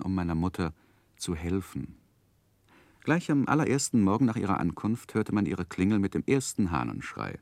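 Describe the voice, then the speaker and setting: An actor playing a grown man who looks back on his childhood, narrating a 1960s German radio drama in a measured, reflective way. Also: deep voice, darkish tone, dry recording.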